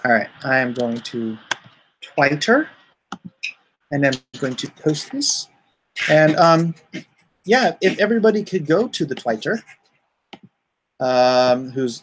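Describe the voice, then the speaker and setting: A man with a mid-range voice hosts a casual livestream. He talks slowly and haltingly, thinking out loud. His manner is informal, with excitable exclamations as he addresses his viewers. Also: mid-range voice, a little hiss or hum, low-quality recording. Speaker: livestream host and comic artist. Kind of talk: casual livestream chat, reacting and thanking viewers.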